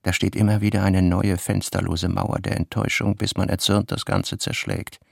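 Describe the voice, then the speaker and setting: A deep, gravelly brooding narrator. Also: male voice, level tone, normal speed, no echo, clean studio sound, exaggerated voice. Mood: brooding, tormented. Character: brooding male narrator of a literary radio play. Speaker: literary narrator. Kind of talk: dramatic literary reading in a radio play.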